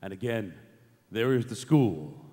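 An actor playing a fearful, regretful old miser in a staged radio play, with a theatrical, halting delivery. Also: male voice, lowish voice, lively intonation, dark tone. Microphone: stand microphone. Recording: large theatre PA with long reverb.

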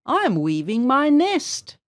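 A woman's voice: sits high, huge pitch swings, slow pace, exaggerated voice. A woman voicing a meek, fretful father blackbird in a storybook, timid and compliant. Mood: meek, worried. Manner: timid, compliant, brief answers.

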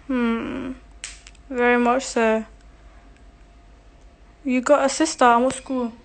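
A woman's voice: on the high side, level tone, slow. A young woman in her twenties chatting casually on a phone livestream, reading viewer questions and answering bluntly with lots of swearing. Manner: blunt, profane, laughing, reads comments aloud. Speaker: social media personality answering viewer questions. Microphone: phone held close, selfie framing.

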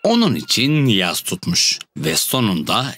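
A man's voice: fairly low pitch, huge pitch swings, measured pace, background noise. A man narrating a scripted children's fairy tale as the storyteller. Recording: loud music under the voice.

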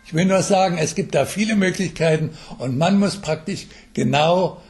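Older man explaining, fairly high voice. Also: a little mellow, faint music behind. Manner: halting, with English jargon mixed in. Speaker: mobile health expert being interviewed. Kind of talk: interview answers, explanatory. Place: telephone interview.

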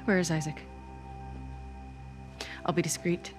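A woman's voice: lowish voice, flat delivery, a little slow, music in the background, natural voice. An actress playing a headstrong, loyal young female soldier in a game, speaking in a direct, insistent way. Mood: probing. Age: young adult. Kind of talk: naturalistic game cutscene acting.

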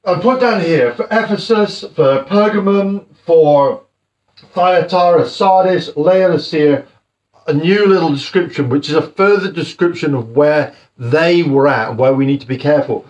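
A man in his fifties giving a jokey, animated home sermon as a house church preacher, filmed by a camera across his living room. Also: a little high, unhurried. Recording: slightly muffled home video.

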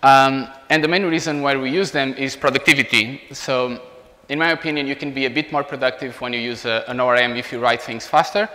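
A man in his thirties with a Portuguese accent, giving an informal technical presentation as a software engineer. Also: mid-range voice, steady tone, fairly quick. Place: indoor meetup room beside a large screen. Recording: reverberant hall sound.

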